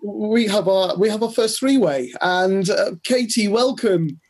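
A middle-aged man with a husky voice co-hosts a podcast. He talks in a casual, chatty way over a video call. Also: high-pitched, expressive, measured pace, darkish tone. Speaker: podcast host. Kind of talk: casual chat. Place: indoors, home room.

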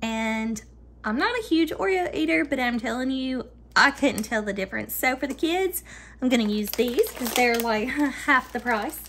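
A woman's voice: on the high side, expressive, moderate pace, a bit bright, slight background noise. A woman in her thirties chatting to viewers as she bakes desserts in her home kitchen, upbeat and talkative. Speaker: baking vlogger. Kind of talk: casual baking tutorial.

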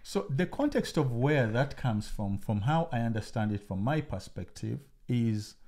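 A man from Uganda co-hosting a podcast, chatting casually with his partner, animated and full of restarts. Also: medium pitch, normal speed. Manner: animated, talks over his partner, many restarts and fillers. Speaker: podcast co-host. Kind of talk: casual conversation.

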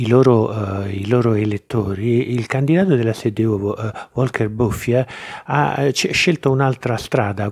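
A middle-aged man giving political commentary in a halting, hesitant way. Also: mid-range voice, darkish tone. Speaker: radio journalist. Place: small indoor office with bookshelves. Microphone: small handheld mic close to mouth.

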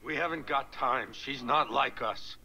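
An actor playing a weary, anguished older police commissioner in a video game, grave and bitter, with a rough, hoarse voice. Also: male voice, medium pitch, measured pace, sharp tone, muffled recording, a little hiss or hum, heavily acted. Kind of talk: video game cutscene acting.

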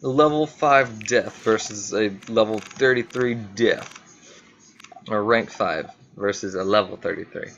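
Slow-talking man commentating on a game. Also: fairly low pitch, a bit bright, muffled recording. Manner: playful, talks to himself, drawn-out exclamations. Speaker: gaming video host. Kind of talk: casual gameplay commentary.

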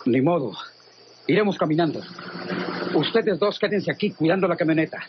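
Guy playing bossy country man giving orders, mexican, high voice, muffled old radio play. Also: animated, moderate pace, noisy background, lightly acted. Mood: commanding. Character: brisk, commanding rural Mexican man leading companions. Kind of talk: radio drama acting. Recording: muffled radio drama under loud ambience.

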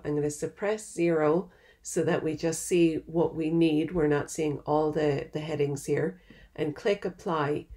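Woman, lowish voice, patient step-by-step software walkthrough, keyboard typing behind. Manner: step-by-step instructional, plain and patient. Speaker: software trainer. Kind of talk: screen-recorded software tutorial.

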